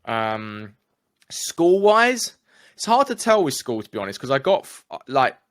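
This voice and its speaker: A man's voice: medium pitch, very animated, measured pace, fairly bright tone, no echo, studio quality. A young English man with an English accent, speaking candidly as an interview guest in a casual, chatty way. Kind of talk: casual conversation.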